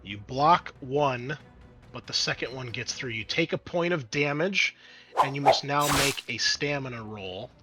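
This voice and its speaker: A man acting as game master, narrating the action and explaining rules to players in an animated way. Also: mid-range voice, unhurried. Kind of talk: narrating and refereeing a tabletop roleplaying game. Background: background music.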